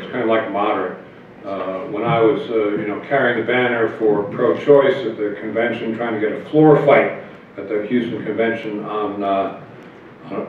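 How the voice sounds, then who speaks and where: An older man, a politician guest, telling wry anecdotes in a relaxed conversational way at a convention. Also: fairly low pitch, slight background noise. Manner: wry and anecdotal, chuckles at his own jokes. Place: hotel meeting room at a convention. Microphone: table mic on a stand, heard from far. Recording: echoey room, far, phone-like thin sound.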